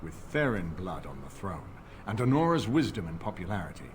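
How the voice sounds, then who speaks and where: A man's voice: low voice, sing-song, fairly quick, slight background noise. A man playing an earnest, shrewd noble statesman in a fantasy video game, with a formal, persuasive delivery. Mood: scheming, measured.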